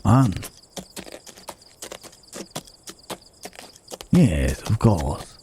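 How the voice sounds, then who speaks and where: A man playing a rugged, wandering cowboy stranger in an intimate ASMR roleplay, with folksy old-West phrasing, a low gravelly voice and hesitant pauses, gently teasing and caring. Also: big pitch swings, slight background noise, heavily acted. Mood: teasing, good-humored. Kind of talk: ASMR roleplay, intimate acted monologue to the listener.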